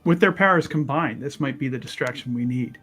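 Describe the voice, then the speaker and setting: A man's voice: medium pitch, a little fast, fairly bright tone, husky, faint music behind. A man talking casually as a player at a tabletop roleplaying game.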